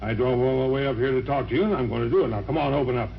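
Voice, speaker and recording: A brusque, authoritative country doctor acted by a man in a vintage radio play. His mid-pitched voice is urgent and determined, on a dull old recording with faint hiss. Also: rapid-fire, creaky, lightly acted. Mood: impatient, determined. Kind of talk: old-time radio drama acting.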